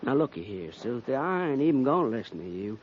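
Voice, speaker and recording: An actor playing an easygoing, cautious cavalry private with a folksy American drawl in a 1950s radio western. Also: male voice, mid-range voice, big pitch swings, fast, natural voice. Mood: placating. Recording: muffled, noisy 1950s radio recording.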